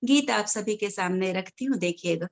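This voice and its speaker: A woman poet reciting Urdu verse at a mushaira over a video call, repeating each line with measured delivery, her voice a little hoarse from a sore throat. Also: medium pitch. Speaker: guest poet.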